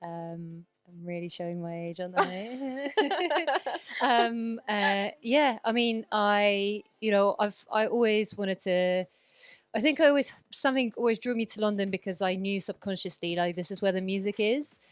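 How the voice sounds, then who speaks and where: A woman's voice: medium pitch, a little slow, clear, resonant tone, no room sound. A woman in her thirties from Poland, raised in Sweden, talking as a guest DJ in a casual podcast interview, chatty and rambling, full of fillers and restarts.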